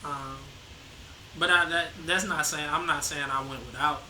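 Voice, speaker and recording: A young man talks in a laid-back, hesitant way, often circling back to restart his thoughts. His voice sits a little high and sounds very bright. An echoey room and a faint hiss surround him. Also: fairly even intonation, moderate pace. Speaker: guest, the host's son. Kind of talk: casual conversation.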